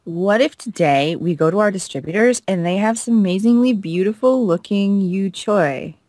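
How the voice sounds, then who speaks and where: A woman co-hosting a gaming video, playfully acting out visual novel dialogue in an expressive, teasing, giggly way. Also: mid-range voice, moderate pace, no room sound.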